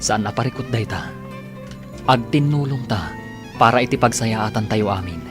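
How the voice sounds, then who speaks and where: A man's voice: fairly low pitch, normal speed, natural voice. A man playing a devoted, determined young suitor in a Filipino radio drama. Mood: earnest, tender. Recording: music bed under the dialogue.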